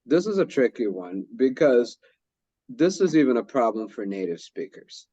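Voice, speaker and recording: A man's voice: medium pitch, very animated, normal speed, mellow, no room sound. Man leading a teachers' discussion. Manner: sums up and rephrases what others say. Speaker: workshop presenter. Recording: dull lo-fi sound.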